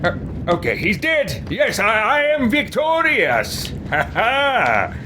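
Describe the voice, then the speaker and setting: A man's voice: high-pitched, huge pitch swings, takes it slowly, noisy background. A man giving casual video game commentary, muttering to himself as he decides and breaking into playful theatrical roleplay. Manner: muttering deliberation to himself, slipping into theatrical exclamations. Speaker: video game let's player. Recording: loud game music behind the voice. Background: loud video game music.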